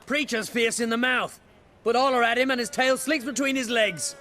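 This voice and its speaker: Mocking video game townsman: very high, sharp medieval commoner. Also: steady tone, normal speed, slight background noise. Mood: jeering.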